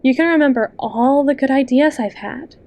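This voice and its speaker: A woman playing a possessive, manipulative best friend and hypnotist in an ASMR roleplay, coaxing and condescending in a soft, intimate voice, speaking straight to the listener. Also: high-pitched. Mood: soothing, controlling. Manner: slow, coaxing, condescending, with lingering pauses.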